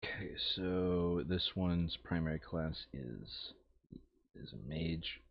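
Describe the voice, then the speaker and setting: A man's voice: sits low, huge pitch swings, takes it slowly, vocal fry, no room sound, lo-fi sound. A man chatting casually and thinking aloud while he plays a board game. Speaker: board game player on a playthrough video.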